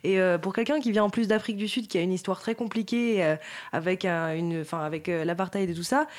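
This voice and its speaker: A panelist on a TV discussion who talks rapidly and restarts sentences often. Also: medium pitch.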